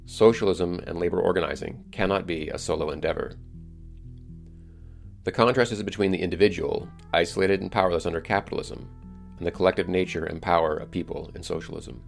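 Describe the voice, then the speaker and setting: A man hosting a podcast, reading scripted solo narration in a measured, explanatory way. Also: deep voice, creaky, faint music behind. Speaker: podcast host.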